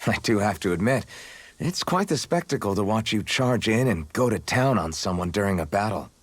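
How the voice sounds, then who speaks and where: Low-voiced, earnest young hero. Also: male voice, lively intonation, moderate pace, breathy edge, no echo. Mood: impressed. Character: earnest, mild-mannered young male hero. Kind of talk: video game voice acting. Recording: studio voice over game music.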